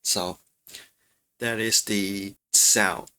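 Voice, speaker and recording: A man with a fairly low voice talks through a tutorial informally. He explains things casually and repeats points for emphasis, speaking into a studio mic in a home room. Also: steady tone, drawn-out words, pushed and bright, slight background noise. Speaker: audio tutorial presenter. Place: small untreated home room with furniture. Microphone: close studio microphones, condenser then dynamic.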